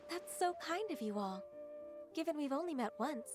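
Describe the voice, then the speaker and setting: Gentle immortal secretary, game dialogue, fairly high voice, polite, earnest, measured, soft music behind. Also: female voice, lively intonation. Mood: composed, polite. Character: gentle, dutiful half-adeptus female secretary, long-lived. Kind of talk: video game voice acting.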